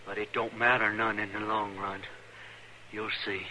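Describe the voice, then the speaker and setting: An actor playing a callous, obedient young frontier son, folksy and surly, in an old radio western. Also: male voice, fairly low pitch, level tone, normal speed, bright, forceful tone. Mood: smug, surly. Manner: folksy, matter-of-fact, surly. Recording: noisy old radio recording.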